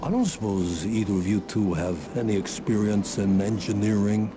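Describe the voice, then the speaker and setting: An actor playing a hapless new maintenance engineer in a video game, hesitant, apologetic and self-deprecating. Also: male voice, lowish voice, lively intonation, normal speed, noisy background, lightly acted. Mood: sheepish, apologetic. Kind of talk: video game cutscene acting. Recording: game audio with music behind.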